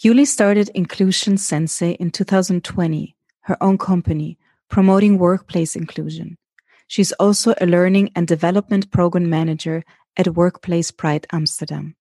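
A woman hosting a podcast, introducing her guest and asking questions in a measured way. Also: fairly low pitch, steady tone, dark tone, no room sound, clean studio sound. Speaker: podcast host. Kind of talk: interview hosting.

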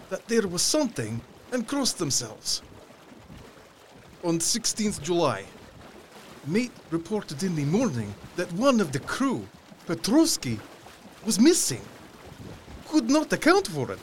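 Male actor as worried ship's captain, high swooping voice, grave log entries, radio-play style, faint water ambience. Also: slight background noise, full character voice. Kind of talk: dramatised log reading, clipped telegraphic phrasing.

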